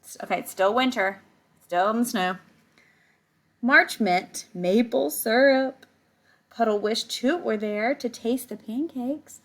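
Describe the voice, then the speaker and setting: A woman's voice: a little high, takes it slowly. A woman reading a picture book aloud to children like a teacher, stretching words and adding casual explaining asides to her young listeners. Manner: animated storytelling, stretches words, chats directly to young listeners.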